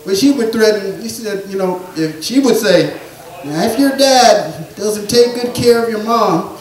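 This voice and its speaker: A man telling rambling family stories in a stand-up set, with a soft voice he says he has. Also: high voice, expressive, a little slow, heavy reverb, far from the mic, a crowd in the background, rough recording. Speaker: stand-up comedian. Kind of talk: storytelling stand-up comedy. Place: small theatre or bar stage.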